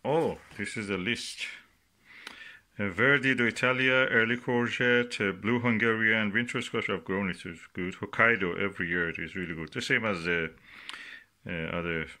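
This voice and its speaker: A man giving casual, unscripted commentary as he shows items, speaking haltingly in short phrases with pauses between them. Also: fairly low pitch, pushed and bright. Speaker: gardening vlogger. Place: indoors at a work table. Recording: noisy home recording with clicks and rustling.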